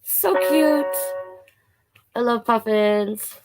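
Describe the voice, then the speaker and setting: A woman with a Canadian accent reading picture books aloud to children, playful and expressive, with chatty asides. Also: on the high side, drawn-out words, music in the background. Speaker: drag performer hosting children's storytime.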